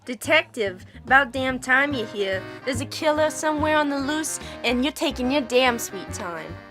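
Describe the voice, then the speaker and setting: A woman's voice: high-pitched, lively intonation, normal speed, fairly bright tone. A woman playing a fiery, bitter daughter in her late teens in a noir radio drama, sassy and combative. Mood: impatient, sassy. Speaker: student voice actor. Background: music.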